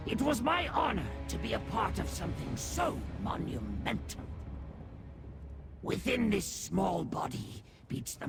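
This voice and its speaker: Gravelly, grandiose little cursed beast. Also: male voice, sits high, full character voice. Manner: theatrical, pompous, archaic formal diction. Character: tiny cursed whiskered beast, grandiose, bloodthirsty loyal servant. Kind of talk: video game character acting.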